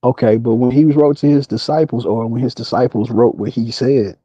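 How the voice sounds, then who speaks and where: A man's voice: mid-range voice, fairly even intonation, moderate pace, mellow, slightly rough, dry recording. An American man calling into a casual debate, needling his opponent with challenging questions over a lo-fi online call.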